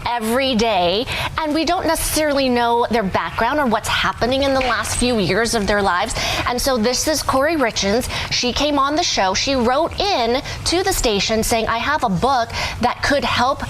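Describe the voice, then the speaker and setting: A woman's voice: fairly high pitch, expressive, moderate pace, hardly any pauses, background noise. A woman hosting a television lifestyle show, speaking in a conversational broadcast style. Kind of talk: broadcast interview and studio discussion.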